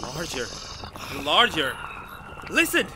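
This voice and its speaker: A man playing an excitable, skeptical scientist in a retro radio drama, melodramatic and halting, increasingly astounded. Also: fairly high pitch, noisy background, heavily acted. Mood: agitated wonder.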